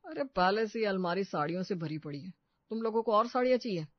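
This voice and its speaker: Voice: mid-range voice, lively intonation, fast, no room sound, muffled recording, natural voice. A performer voicing an exasperated adult husband in a story, teasing and complaining about his wife. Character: grumbling husband, adult man, exasperated by wife's demands.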